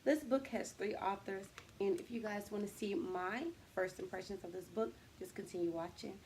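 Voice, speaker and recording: A woman's voice: medium pitch, moderate pace, darkish tone, a little hiss or hum. A woman hosting a reading vlog in casual, slangy, upbeat, encouraging chat. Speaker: host of a book-reading vlog. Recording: music playing behind the voice. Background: background music.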